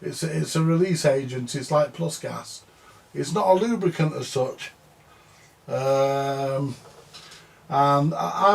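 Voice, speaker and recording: A man's voice: a little high, vocal fry, darkish tone. An older man who repairs vintage audio gear, rambling and grumbling in a casual monologue, repeating himself and swearing now and then.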